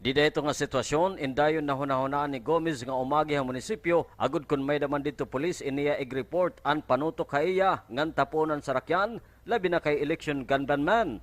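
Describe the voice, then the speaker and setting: A man's voice: on the high side, fairly quick, dry recording. A man from the reading scripted television news narration as a reporter.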